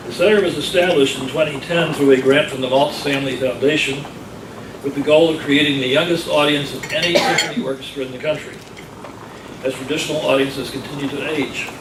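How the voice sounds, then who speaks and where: A man reading a prepared introduction for a guest speaker at a club meeting, formal and measured. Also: on the high side, fairly even intonation, background noise. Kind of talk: prepared speech read aloud. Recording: echoey room, distant mic, background clatter.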